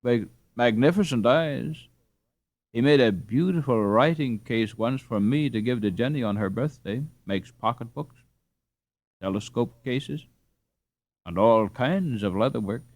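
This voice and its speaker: A man voicing a reserved young English gentleman in a storybook, speaking in hesitant, clipped phrases. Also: medium pitch, expressive, measured pace, dry recording. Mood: matter-of-fact.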